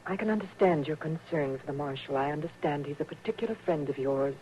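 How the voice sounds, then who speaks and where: An actress playing a cold, proud and bitter frontier matron in a radio western, speaking stiffly and curtly. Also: female voice, sits low, animated, fast, darkish tone. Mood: curt, dismissive. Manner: stiff, formal, curt. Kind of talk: old-time radio western acting. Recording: dull, noisy old radio recording.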